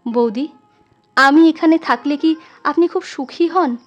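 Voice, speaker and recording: A woman's voice: high-pitched, a little slow, highs cut off. A woman voicing an earnest, guilt-troubled young man in a story reading, hesitant and emotional. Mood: earnest, wondering.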